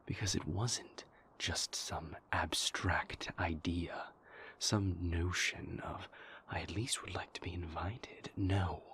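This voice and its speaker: Man acting a low, whispering, brooding vampire. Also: fairly even intonation, fairly bright tone. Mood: anguished, torn. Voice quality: breathy, whispery, creaky. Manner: halting, deliberate, confessional, formal wording. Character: brooding, weary male vampire, a king's conflicted enforcer and lover. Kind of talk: intimate dramatic audio roleplay monologue.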